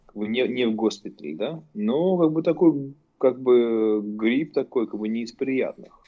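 A man chatting casually with a middle-pitched voice. He jokes and leans on filler words. His audio is dull and lo-fi. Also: moderate pace. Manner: joking, heavy use of filler words. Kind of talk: casual chat. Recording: dull lo-fi sound.

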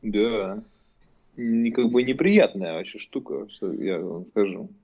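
A man's voice: fairly low pitch, lively intonation, slow, husky. A man in casual chat, joking and leaning heavily on filler words, recorded with a dull lo-fi sound.

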